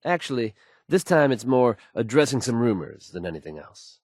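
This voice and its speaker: A man's voice: medium pitch, sing-song, moderate pace, darkish tone, no room sound, studio quality. A man playing an assured, folksy radio DJ in a video game, delivering news bulletins to listeners with fluent, polished ease. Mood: upbeat, confident.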